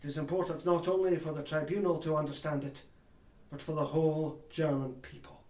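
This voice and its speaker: A man performing a theatrical monologue as an anguished, remorseful German former judge testifying in court with grave, deliberate oratory. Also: fairly high pitch, flat delivery, highs cut off, lightly acted. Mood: grave, subdued. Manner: grave, deliberate oratory with swells from hushed to loud. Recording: echoey room.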